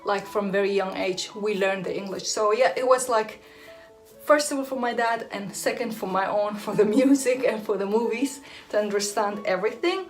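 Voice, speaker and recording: A woman's voice: medium pitch, normal speed, faint music behind. A woman from Iraq whose first language is Aramaic, chatting casually to camera as a vlogger and laughing often between phrases. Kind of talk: casual direct-to-camera chat.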